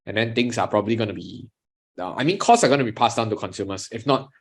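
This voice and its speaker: A man with a Singaporean English accent, co-hosting a podcast and steering the chat in a measured, analytical way. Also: on the low side, husky. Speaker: podcast co-host. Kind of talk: casual chat.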